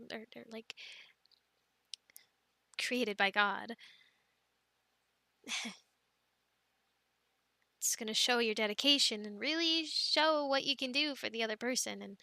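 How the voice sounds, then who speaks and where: Bright-voiced, sweet young girlfriend played by a woman. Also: on the high side, gravelly, no echo, full character voice. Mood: fond, reflective. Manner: giggly, hesitant, chatty with fillers and pauses. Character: devout, affectionate, playful young adult girlfriend. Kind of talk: soft girlfriend roleplay, casual improvised-sounding chat.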